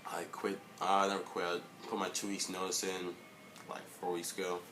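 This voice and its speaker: Young male vlogger, low monotone voice, hesitant and filler-heavy, faint hiss behind. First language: English. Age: young adult. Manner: halting, many fillers and restarts. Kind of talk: casual motivational monologue.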